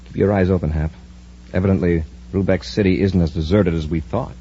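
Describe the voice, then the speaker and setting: An actor playing an authoritative, observant space patrol commander in a measured 1950s radio adventure style. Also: male voice, low voice, steady tone, mellow, lightly acted. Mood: alert. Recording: muffled, noisy old radio recording with hum.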